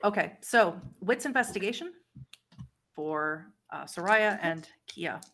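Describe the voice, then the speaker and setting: A woman's voice: on the low side, animated, unhurried, noisy background. A woman speaking as game master of a streamed tabletop roleplaying session, agreeing readily with players.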